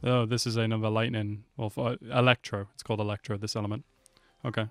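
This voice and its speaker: A man's voice: on the low side, level tone, fast. A man in his twenties giving casual reaction commentary into a close microphone. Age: 20s. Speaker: reaction video host. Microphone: close desk microphone. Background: game trailer music.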